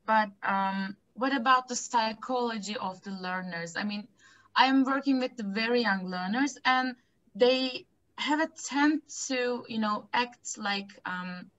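A young woman, chatting excitedly with lots of giggles and fillers as a webinar attendee on a video call. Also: medium pitch, measured pace. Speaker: webinar attendee asking a question. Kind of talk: casual spoken question on a video call. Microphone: laptop mic on video call. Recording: dull lo-fi video call audio.

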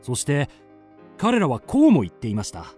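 An actor playing an earnest young amateur sleuth in a radio drama, narrating and reasoning in a deliberate, dramatic, polite way. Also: male voice, a little high, huge pitch swings, dark tone, soft background music. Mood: confident accusing. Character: earnest young male amateur sleuth, confidently accusing his friend. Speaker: narrator and lead character.

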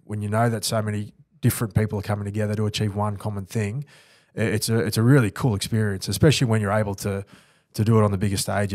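Australian man, thirties, ex-player, low and dry, earnest studio interview guest. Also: a little mellow, dry recording. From New Zealand. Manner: earnest, reflective, many restarts. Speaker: former rugby league player. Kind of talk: podcast interview. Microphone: studio mic on boom arm.

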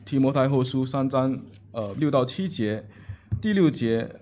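A man's voice: medium pitch, animated, a little slow, a little mellow. A man seated at the front of a church interprets a sermon phrase by phrase into a table microphone. His delivery is hesitant, broken by filler sounds and restarts as he searches for the next words.

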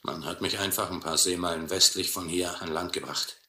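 An actor playing a hard, sardonic sea captain in a radio play, speaking in slow, terse phrases. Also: male voice, low voice, fairly even intonation, bright, forceful tone. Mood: dryly sardonic. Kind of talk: German radio play acting. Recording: roomy, noisy old radio play recording.

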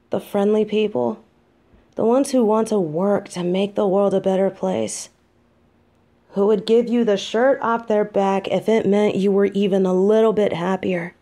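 An actress playing an affectionate, tired demon girlfriend in an audio roleplay, talking softly and close. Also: medium pitch, close to an everyday voice.

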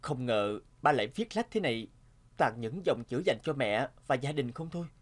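A man voicing a weary, sentimental young husband in a cartoon, speaking in an expressive, dramatic way. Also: mid-range voice, normal speed, lightly acted. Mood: gentle. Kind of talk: cartoon dubbing.

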